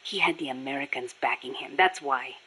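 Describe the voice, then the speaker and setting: A woman's voice: a bass voice, a little fast, bright, forceful tone, slightly rough, full character voice, low-quality recording. A woman voicing a passionate, embittered Nicaraguan Sandinista guerrilla with a Spanish accent in a video game, speaking in a solemn, earnest way. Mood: grave. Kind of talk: scripted video game voice acting.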